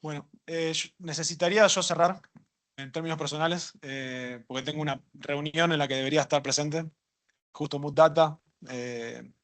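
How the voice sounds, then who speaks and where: A man answering questions at a workshop, relaxed and thinking aloud with small jokes. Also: fairly high pitch, moderate pace. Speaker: workshop instructor. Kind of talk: casual lecture Q&A.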